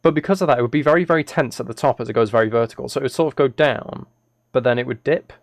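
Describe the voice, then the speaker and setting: Male game streamer, gravelly creak, mid-pitched, casual and thinking aloud. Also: very fast, no echo, clean studio sound. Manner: thinking aloud, self-correcting. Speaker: live streamer. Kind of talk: casual gaming livestream commentary, chatting with viewers.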